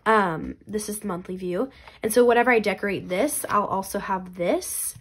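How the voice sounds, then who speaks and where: A woman's voice: medium pitch, normal speed, a little hiss or hum. A young woman, congested from a cold, chatting in a bubbly, casual way while showing her planners. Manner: bubbly, chatty, many fillers. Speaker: planner vlogger. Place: indoors at a desk. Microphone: camera overhead, hands only seen.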